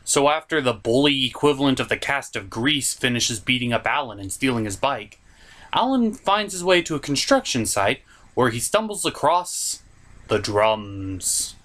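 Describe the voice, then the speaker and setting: Male comedic reviewer, lively swinging pitch, recaps a film with sarcastic, incredulous asides. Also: normal speed. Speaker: host of a movie review puppet show.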